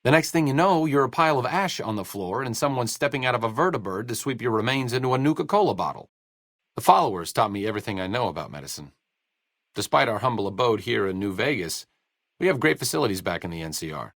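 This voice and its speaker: An actor playing a sarcastic, idealistic wasteland doctor in a video game, with an American accent and a dry, articulate manner. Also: male voice, mid-range voice, lively intonation, fast, fairly bright tone, no room sound. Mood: wry, then dry, explanatory. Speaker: video game companion character. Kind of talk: video game voice acting, conversational dialogue.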